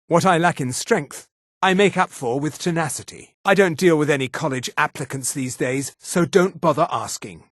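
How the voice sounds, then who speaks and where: A haughty male high elf mage for a fantasy video game. His mid-pitched voice moves expressively up and down, with a husky edge and formal, precise diction. The recording is close and dry. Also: fairly bright tone, dry recording. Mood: reserved, courteous. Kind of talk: scripted video game character lines.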